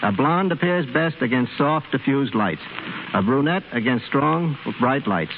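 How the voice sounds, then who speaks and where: A man's voice: mid-range voice, expressive. Formal man on tinny old radio. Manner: formal, measured, explanatory. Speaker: studio cameraman interviewed as a guest. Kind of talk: scripted radio talk. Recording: tinny, hissy 1930s radio recording.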